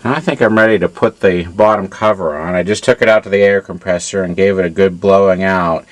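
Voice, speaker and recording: A man giving an informal hands-on technical demonstration in a halting, matter-of-fact way, as a repair hobbyist. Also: low-pitched, steady tone, poor audio. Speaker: amplifier repair hobbyist demonstrating his work.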